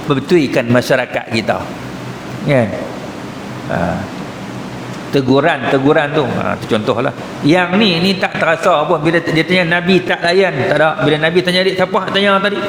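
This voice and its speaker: A man's voice: on the high side, moderate pace, long pauses. A man with a northern Malay Kedah accent giving a colloquial, explanatory mosque lecture as an Islamic teacher, often checking in with short questions. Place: mosque hall. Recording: echoey hall, reverberant, noticeable background noise.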